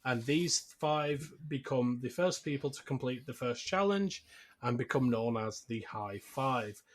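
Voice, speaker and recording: A man's voice: mid-range voice, animated, measured pace. A man hosting a podcast, casually recapping a film in a jokey, conversational way. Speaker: podcast host.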